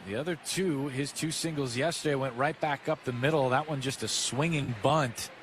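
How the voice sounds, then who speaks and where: American baseball announcer over clear ballpark noise. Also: male voice, mid-range voice, normal speed, fairly bright tone. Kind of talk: live radio sports commentary. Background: outdoor ballpark ambience.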